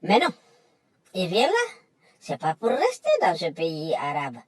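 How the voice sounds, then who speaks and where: A woman's voice: on the low side, wildly swooping pitch, measured pace, husky, dry recording. A woman delivering an emphatic, lecturing monologue that repeats its points. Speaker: commentator. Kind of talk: impassioned monologue.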